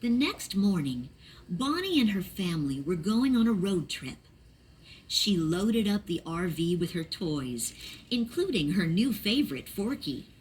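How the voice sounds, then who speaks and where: A woman narrating a children's read-along storybook in a clear, expressive storytelling manner. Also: fairly low pitch, slight background noise, rough recording.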